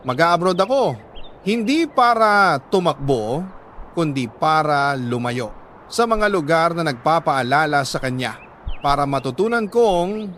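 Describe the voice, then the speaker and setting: A man with a Filipino accent reading a dramatic love story as a radio storyteller, solemn and heartfelt, pausing between short phrases. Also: fairly high pitch, a bit bright, a little hiss or hum.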